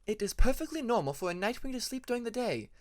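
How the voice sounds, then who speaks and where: A man's voice: extremely high pitch, big pitch swings, fast talker, pitched above the performer's own voice. A man voicing a stubborn dragon mother who lectures in a matter-of-fact, insistent way, for a storybook narration. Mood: lecturing, insistent.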